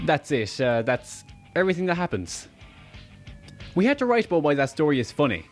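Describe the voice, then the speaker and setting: Young Irish man, sarcastic narration, animated high-ish voice, faint music behind. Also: fairly quick. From Ireland. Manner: sardonic, deadpan humour. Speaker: video essayist.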